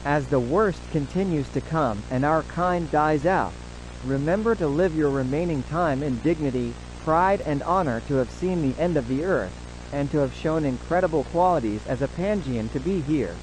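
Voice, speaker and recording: Man acting as male emergency announcer, fairly high voice, formal, grave, measured, scripted broadcast drama, faint background hiss. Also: lightly acted, rough recording. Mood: grave, resigned.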